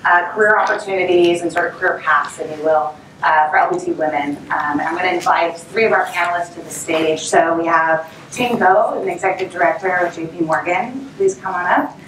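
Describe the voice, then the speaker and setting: A woman's voice: lowish voice, a little fast, fairly bright tone. A woman moderating a conference panel in a casual, upbeat way with lots of fillers. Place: conference stage. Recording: roomy, distant mic, audible noise.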